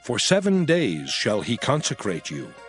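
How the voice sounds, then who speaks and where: Moses, a solemn lawgiver. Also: male voice, lowish voice, huge pitch swings, faint music behind. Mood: solemn command. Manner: solemn, commanding, measured. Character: Moses, stern aged male lawgiver. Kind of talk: dramatized scripture reading.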